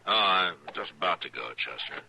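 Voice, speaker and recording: A low American male voice plays a busy frontier marshal in a radio western. He is laconic, understated and dryly skeptical, heard through a noisy old recording. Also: expressive, moderate pace, vocal fry. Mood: dryly patient.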